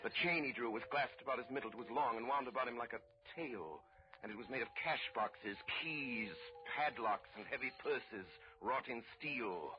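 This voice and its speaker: A man narrating an old-time radio drama in measured, grave storytelling style. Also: mid-range voice, background noise. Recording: muffled old radio broadcast, faint music.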